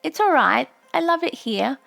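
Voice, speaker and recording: A woman's voice: sits high, animated, normal speed, gravelly, a bit bright, faint music behind, voice pushed up, exaggerated voice. A woman voicing a wistful, homesick young girl in an audiobook, earnest in manner. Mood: brave, earnest. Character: wistful young American-raised Japanese girl, bravely homesick. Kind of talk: audiobook character voice.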